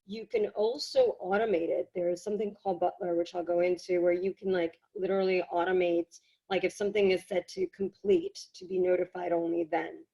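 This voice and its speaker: A woman giving a casual software tutorial, explaining in a halting, conversational way, slightly hoarse from a cold. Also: fairly low pitch, rough recording. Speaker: workshop presenter. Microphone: video call.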